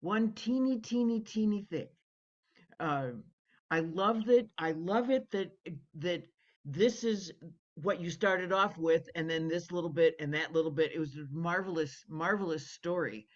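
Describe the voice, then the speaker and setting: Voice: mid-range voice, measured pace. Co-host gushing over a story, muffled lo-fi zoom sound. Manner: warm, effusive praise. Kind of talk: giving feedback. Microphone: video call. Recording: dull lo-fi video call audio.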